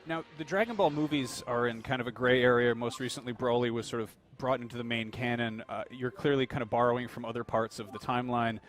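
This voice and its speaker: Man, interviewer, quick pace, probing questions. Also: medium pitch, steady tone.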